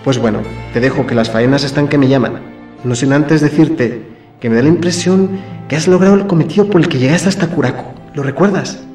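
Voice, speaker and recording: A man's voice: on the high side, a little fast, darkish tone, close to an everyday voice. A man playing a cheeky, affectionate Madrid friend, reading out his letter in a radio soap. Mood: playful, gossipy. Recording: roomy, somewhat distant, faint music behind.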